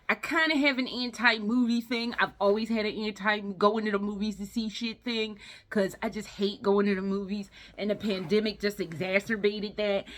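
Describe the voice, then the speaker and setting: A woman's voice: mid-range voice, fairly bright tone. A tired woman giving a casual, rambling review in a vlog, blunt and freely profane as she talks. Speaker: video game and movie vlogger.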